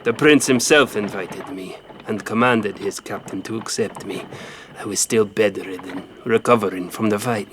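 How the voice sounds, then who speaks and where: A man acts a grim, haunted fighter telling his own tale in an audio drama. The voice is low and solemn, edged with bitterness, over clearly audible background ambience. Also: normal speed, noisy background, close to an everyday voice. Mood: somber, regretful. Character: grim grown man recounting his violent, grieving boyhood. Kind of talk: first-person dramatic storytelling in an audio drama.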